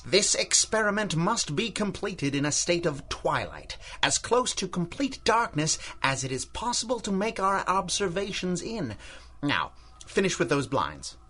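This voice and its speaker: An older radio-drama scientist consumed by his obsession. His voice is forceful and highly animated, with formal, theatrical phrasing. He is courteous on the surface, patronizing and ruthless underneath. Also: slightly rough, exaggerated voice. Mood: imperious. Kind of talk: 1930s-style radio drama acting.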